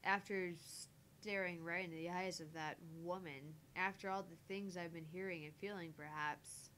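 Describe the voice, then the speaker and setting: A woman reads a visual novel aloud on a game stream, her voice a little on the low side. She moves through the narration informally, now and then tripping on a phrase and repeating it. A faint rumble of noise sits under the recording. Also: steady tone, normal speed, a bit bright. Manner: stumbles over lines and rereads. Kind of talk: reading story aloud with casual asides.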